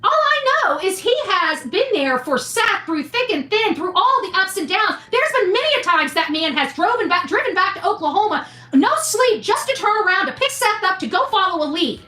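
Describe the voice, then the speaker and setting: A woman's voice: very high voice, very animated, moderate pace, few pauses, sharp tone. A woman delivering an impassioned, blunt opinion rant as a show host, scolding, mildly profane and repeating phrases for emphasis. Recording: echoey room, faint music behind.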